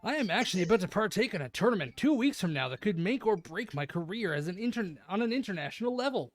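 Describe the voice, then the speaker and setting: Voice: mid-range voice, very animated, quick pace, no room sound, soft background music. A streamer reading a visual novel aloud with crude comedic ad-libs, often laughing at their own jokes. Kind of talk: live comedic read-along with ad-libs.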